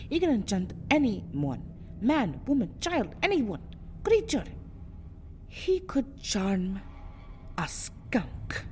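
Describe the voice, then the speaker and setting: A woman playing a proud, distraught, centuries-old vampire girl in an ASMR roleplay, theatrical, moving between breathy whispers and agitated speech. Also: medium pitch, dark tone, slight background noise. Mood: alarmed, distraught. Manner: theatrical, often dropping into breathy whispers, swelling into agitated outbursts. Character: anguished two-hundred-year-old vampire girl, proud, fiercely protective, distraught. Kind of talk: ASMR roleplay monologue, melodramatic acting.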